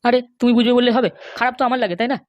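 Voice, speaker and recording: A man's voice: very high voice, fairly even intonation, very fast, no room sound. A man voicing a henpecked, pleading middle-aged cartoon husband with a West Bengal accent. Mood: aggrieved. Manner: wheedling, grumbling. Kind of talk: comic cartoon dialogue.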